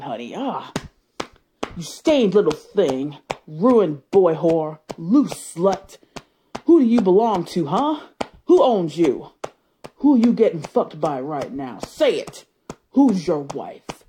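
Woman voicing a controlling wife: low, mellow and sultry, whispery. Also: slight background noise, heavily acted. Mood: coaxing, then possessive, loud. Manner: sultry, slipping between whisper and loud. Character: possessive, domineering adult wife. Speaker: audio roleplay performer. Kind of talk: solo audio roleplay.

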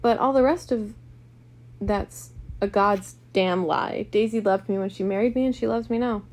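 A creaky-voiced, possessive and brutish rich husband in a storybook drama , read by a woman. He is arrogant and quick to anger, blustering out accusations. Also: fairly high pitch, dark tone. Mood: explosive anger. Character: arrogant, hot-tempered wealthy married man, brutish and possessive.

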